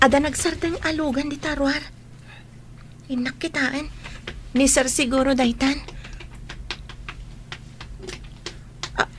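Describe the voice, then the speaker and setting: A woman's voice: high voice, steady tone, moderate pace, slight background noise, lightly acted. A young woman with an Ilocano Filipino accent, playing a housemaid and student in a radio drama. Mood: subdued, respectful. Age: young adult. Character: young housemaid and student, respectful, later outraged and anguished.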